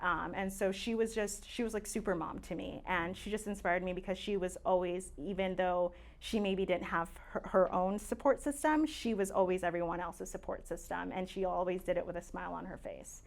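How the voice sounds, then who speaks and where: A woman's voice: lowish voice, fairly even intonation, normal speed, creaky, hardly any pauses. A woman in her late twenties from Tanzania, a native Swahili speaker, chatting casually as a podcast guest with plenty of fillers and easy laughs. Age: late 20s. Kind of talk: casual interview chat.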